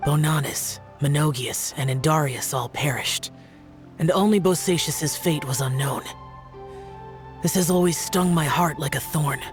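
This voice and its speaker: A man voicing a stoic, burdened immortal warrior in a video game, speaking in a measured, solemn, brooding way. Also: a little high, close to an everyday voice. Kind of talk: dramatic video game monologue.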